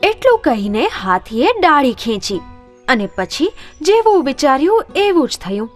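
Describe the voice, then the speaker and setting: Animated, high-pitched woman storyteller. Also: measured pace, faint music behind. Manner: expressive storytelling. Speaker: storyteller, narrator. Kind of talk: scripted children's story narration.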